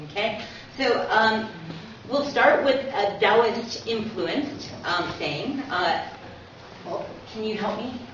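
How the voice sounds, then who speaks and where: A woman's voice: on the high side, slow. A woman presenting to an audience in a conversational lecture style, with small asides and read-out sayings. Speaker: guest speaker presenting a book. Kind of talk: informal public lecture with read-out aphorisms. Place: echoing talk room at a community centre. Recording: echoey hall from far off, muffled, noisy.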